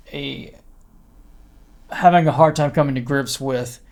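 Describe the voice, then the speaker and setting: A man talking reflectively in a podcast conversation, haltingly, as if thinking out loud. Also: mid-range voice, vocal fry.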